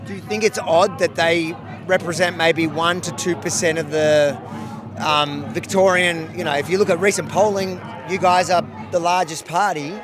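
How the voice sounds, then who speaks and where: A man doing street interviews outdoors at night, firing off provocative, quick questions. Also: a little high, steady tone. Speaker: street reporter. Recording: noisy street crowd.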